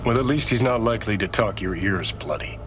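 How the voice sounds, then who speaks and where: A man's voice: on the low side, expressive, a little fast, music in the background, lightly acted. An actor playing a sardonic, impatient male companion in a video game. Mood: wry, urging.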